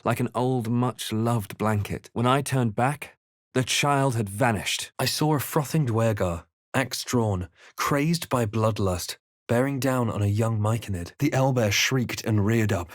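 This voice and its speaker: A male actor playing a brooding, resolute fantasy RPG adventurer in a video game, with a measured, dramatic storytelling delivery. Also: medium pitch, no echo, studio quality, natural voice. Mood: grave narrating. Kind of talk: scripted video game character lines and narration.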